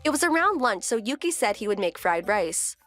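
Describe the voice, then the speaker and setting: A woman's voice: medium pitch, big pitch swings, a little fast, fairly bright tone, faint music behind. A woman playing a composed, wry wife who narrates her domestic story in a scripted manga dub. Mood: calm.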